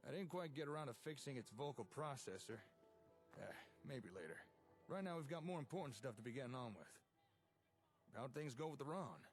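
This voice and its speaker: A man's voice: medium pitch, expressive, a little fast, soft background music, close to an everyday voice. A man playing an easygoing, enthusiastic settlement tinkerer, chatting and explaining in a folksy way in video game dialogue. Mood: casual, wry.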